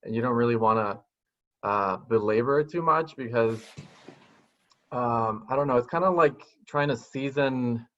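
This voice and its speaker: Soft-spoken man on a dull video call. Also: medium pitch, normal speed. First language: Spanish. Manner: soft-spoken, thoughtful, many restarts. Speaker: guest poet. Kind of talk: casual interview answers. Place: small home room, indoors. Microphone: video call webcam.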